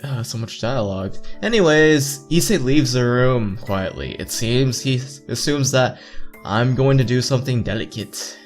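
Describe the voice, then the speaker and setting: A man's voice: medium pitch, expressive, normal speed. A man reading visual novel text aloud as a let's player, stumbling over lines and repeating words, with joking asides. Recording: game music behind voice.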